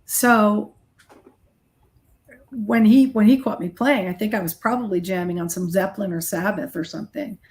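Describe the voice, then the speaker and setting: A middle-aged woman with a mid-pitched voice speaks as a guest in a casual interview. She is candid and emphatic, talking in a loose, conversational way like a musician telling her own story. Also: moderate pace, a little mellow. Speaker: guest, rock guitarist and recording artist.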